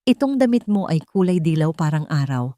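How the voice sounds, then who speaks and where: Woman reading as sweet young maid, calm but firm, mellow, storybook drama. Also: sits low, a little fast, dry recording, studio quality. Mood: playful, teaching. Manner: calm but emphatic, respectful. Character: gentle, firm young housemaid in her twenties.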